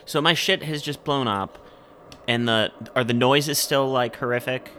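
A man playing a tabletop game, excitable and swearing freely. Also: mid-range voice, measured pace, a little hiss or hum. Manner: excitable and profane. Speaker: player. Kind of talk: casual tabletop play.

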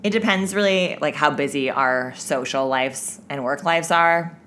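Low-voiced woman, playful podcast co-host. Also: a little slow, a bit bright, slight background noise. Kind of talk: casual chat.

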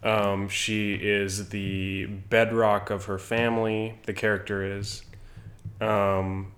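A man's voice: on the low side, little pitch movement, takes it slowly, fairly bright tone. A man co-hosting a podcast, chatting casually and jokingly about a favorite television performance. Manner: joking, rambling. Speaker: podcast co-host.

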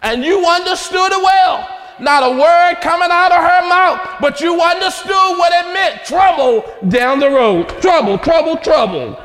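A man preaching in a very high voice, shouting with fervor and building his phrases in rhythmic repetition. His voice rings out with a long reverb, as if in a large church hall.

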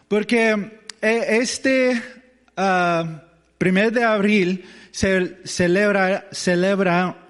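A man's voice: high-pitched, fairly bright tone, long reverb tail. A man preaching as pastor, hesitant and searching for words in a language that is not his first, with as his tongue. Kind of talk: sermon.

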